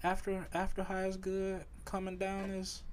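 A man's voice: high voice, normal speed, a little hiss or hum. A young man co-hosting a podcast, telling stories in loose, slangy casual chat. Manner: animated storytelling, slangy. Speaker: podcast host.

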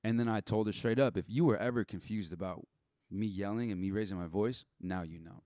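A man's voice: fairly low pitch, brisk, mellow, dry recording, clean studio sound. A young man talking casually and candidly as a podcast guest, blunt and given to swearing, acting out bits of past conversations as he goes. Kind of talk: casual personal chat.

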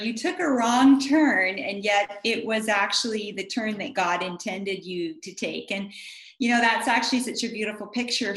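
A woman's voice: medium pitch, a bit bright. Encouraging woman interviewer in a roomy space. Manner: encouraging, affirming. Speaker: interviewer, host. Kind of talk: faith interview.

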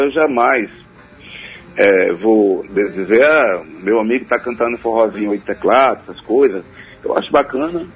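Husky man. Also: mid-range voice. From Northeast Brazil. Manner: rambling, hesitant with many fillers. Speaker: forró singer, guest. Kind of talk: radio interview answers. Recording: dull radio broadcast, faint music.

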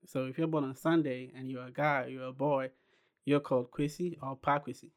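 A young man from Ghana who founded a clothing brand, telling his story unhurriedly as a podcast guest, with pauses and restarts. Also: medium pitch, flat delivery. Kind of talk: casual interview chat.

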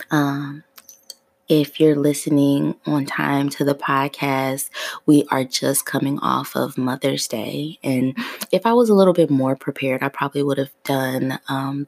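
A woman in her thirties hosting her own podcast in a relaxed, free-flowing chat, her voice still sleepy in the morning. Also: a bass voice, creaky, no echo. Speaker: podcast host. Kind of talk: casual solo podcast chat.

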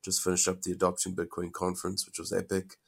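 A man's voice: low voice, monotone, quick pace, creaky, breathy edge. A man hosting a podcast interview, upbeat and enthusiastic, sniffly from a cold. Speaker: podcast host.

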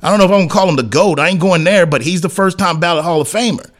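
A man's voice: sits high, very fast, fairly bright tone. A man hosting sports talk, giving an emphatic, blunt, opinionated monologue in colloquial, slangy speech and repeating phrases to hammer them home. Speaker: sports talk show host.

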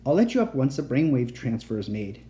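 A man's voice: mid-range voice, sing-song, fairly quick, mellow, natural voice. Man playing an obsessed, commanding mad scientist uncle. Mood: intent, dismissive. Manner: urgent, ordering, grandiose. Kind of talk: dramatised children's horror story reading.

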